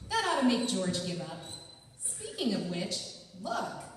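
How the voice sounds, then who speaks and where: A woman's voice: medium pitch, wildly swooping pitch, a little slow. An actress playing a chatty, concerned small-town neighbour woman in a stage radio play. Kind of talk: stage radio theatre acting. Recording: echoey hall from far off, faint noise.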